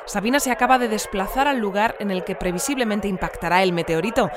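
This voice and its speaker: An actress playing a formal, measured, unflappable radio newsreader in an audio drama. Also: female voice, mid-range voice, background noise, lightly acted. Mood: serious, composed. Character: composed female radio newsreader of a sci-fi community. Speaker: news anchor. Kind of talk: scripted news bulletin, fiction podcast. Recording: dry studio with music bed.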